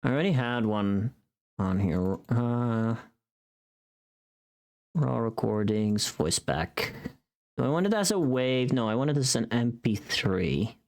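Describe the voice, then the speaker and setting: A livestream host with a mid-pitched voice and very animated, swinging intonation. The talk is casual and profane, like someone thinking aloud while working on screen. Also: slow, pauses often.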